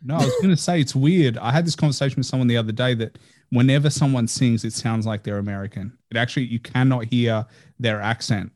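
A man's voice: mid-range voice, quick pace, a little mellow. A man hosting a podcast interview, chatting in an earnest way with frequent "like" fillers. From Australia. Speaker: podcast host. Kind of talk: casual interview chat.

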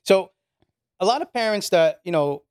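A man's voice: sits high, animated, normal speed, clear, resonant tone, no echo, clean studio sound. A man hosting an interview, asking loose, rambling questions full of fillers. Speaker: podcast host.

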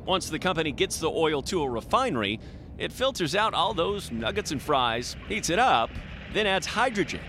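A man's voice: a little high, big pitch swings, moderate pace, noisy background. A male TV news reporter reading scripted narration for a feature package.

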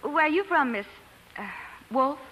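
An actress playing a spunky, plain-spoken young nurse trainee in a 1940s radio drama, forthright and folksy. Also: female voice, high voice, expressive, a little slow, pushed and bright, exaggerated voice. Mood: polite, awkward. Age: young adult. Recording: noisy vintage 1940s radio broadcast.

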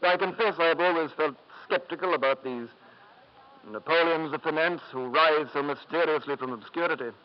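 A man's voice: a little high, a little fast, close to an everyday voice. An actor playing a principled, earnest schoolmaster in a 1950s radio drama, formal and hesitant in his delivery. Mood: formal, astonished. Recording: muffled 1950s radio broadcast.

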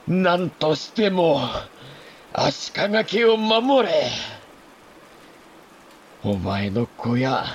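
An anguished, dying samurai lord. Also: male voice, a little high, dull audio, a little hiss or hum, heavily acted. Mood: urgent plea. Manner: halting, emotional, archaic lordly speech. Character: dying older samurai lord, anguished father confessing fear. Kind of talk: Japanese historical audio drama acting.

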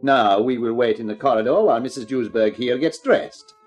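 An actor playing a smooth, menacingly polite gangster with formal, precise phrasing in a 1950s radio crime drama. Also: male voice, medium pitch, brisk, a little mellow, close to an everyday voice. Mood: polite menace. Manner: formal, courteous, precise phrasing with veiled threats.

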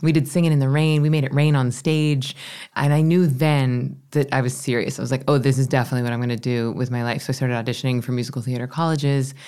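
A woman in her thirties from Florida, a meditation teacher with a low voice, telling her story in an animated, chatty way. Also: fast talker, clean tone. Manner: animated, chatty, self-deprecating. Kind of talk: casual interview storytelling.

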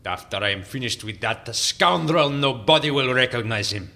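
An older Latin American president, vain and boastful, for audio fiction. His voice is sharp and forceful, with very lively pitch. He blusters grandly. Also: normal speed, gravelly.

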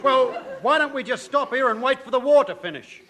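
A man's voice: very high voice, expressive, brisk, bright, forceful tone, exaggerated voice. A man playing an eager, naive soldier with earnest daft ideas in a 1950s radio sitcom. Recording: old radio recording, faint noise.

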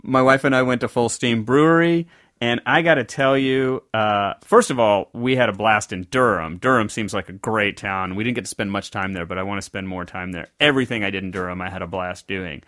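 A low-pitched man from the American South co-hosts a casual podcast. His delivery is rambling and conversational, full of restarts and asides. The recording is dry and close. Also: brisk, fairly bright tone, dry recording. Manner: rambling, self-correcting storyteller. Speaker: podcast co-host.

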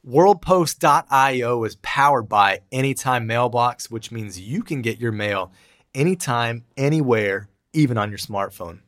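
A man's voice: mid-range voice, a little slow, a bit bright. A man co-hosting a podcast in a casual, conversational style. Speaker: podcast co-host. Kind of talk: casual podcast chat and sponsor reads.

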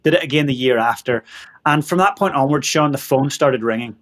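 A man in his thirties from Northern Ireland with a Northern Irish accent, an interview guest telling energetic stories and laughing often. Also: medium pitch, brisk. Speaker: guest, leadership coach. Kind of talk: storytelling in an interview.

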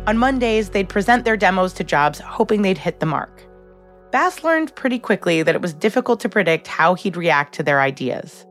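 A woman's voice: medium pitch, lively intonation, normal speed, faint music behind. A woman hosting a documentary podcast, reading scripted narration in a polished storytelling format.

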